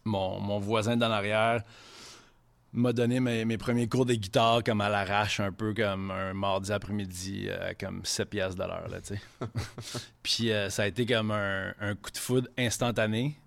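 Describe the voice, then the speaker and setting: A man's voice: lowish voice, flat delivery, moderate pace, creaky, fairly bright tone. A man from Quebec City, in his thirties, with a Quebec French accent, a chef guest in a casual podcast interview, rambling in franglais with frequent swearing.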